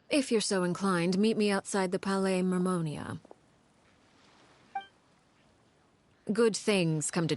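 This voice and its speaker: An actress playing a cold, menacing female leader of a secretive house in a video game, speaking formally and with measured poise. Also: lowish voice. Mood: sly, composed. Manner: measured, formal, veiled threats.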